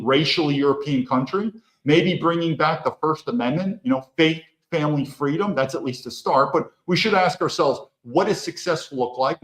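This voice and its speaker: A man hosting his own channel, talking through a commentary monologue in a blunt, opinionated way and firing off rhetorical questions. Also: fairly high pitch. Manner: rhetorical, peppers talk with questions. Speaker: host. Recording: echoey room.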